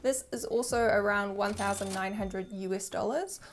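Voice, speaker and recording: A woman's voice: mid-range voice, normal speed, a little hiss or hum. A young woman with a New Zealand accent, chatting candidly to camera as she reviews a handbag, self-deprecating and open.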